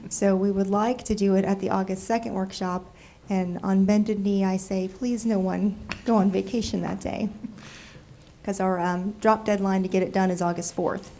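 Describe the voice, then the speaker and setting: A woman with a cold, nasal and froggy, presenting a city budget to council in a measured way with frequent ums. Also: mid-range voice, dark tone, a little hiss or hum. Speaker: city deputy budget director. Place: council chamber.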